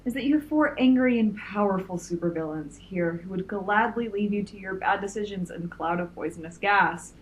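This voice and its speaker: A woman playing a commanding young supervillain in an audio drama, formal and deliberate. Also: medium pitch, lightly acted, poor audio. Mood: defiant. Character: commanding, coolly threatening young supervillain leader. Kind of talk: audio drama villain acting. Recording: faint noise and room ambience.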